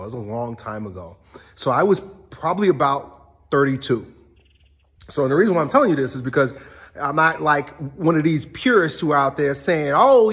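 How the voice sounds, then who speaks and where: A man's voice: mid-range voice, fairly bright tone, breathy edge. A man in his forties talking casually and candidly to his viewers, blunt and loose, with casual swearing. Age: 40s. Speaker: strength coach and channel host. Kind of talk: casual talk to camera.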